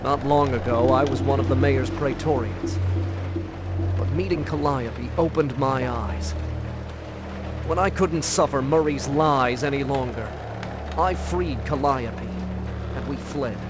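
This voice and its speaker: A man acting as an earnest renegade guard in a video game, speaking gravely and pleadingly in a deliberate way. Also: mid-range voice, loud music behind the voice, lightly acted. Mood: grave, confiding. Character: earnest renegade guard who freed a captive siren.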